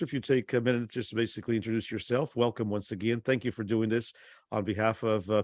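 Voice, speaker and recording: A man introduces a guest speaker at a conference over a video call. His voice sits low and sounds dry and close, with no room around it. He talks in a halting way and leans on frequent uhs. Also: dry recording. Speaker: conference host.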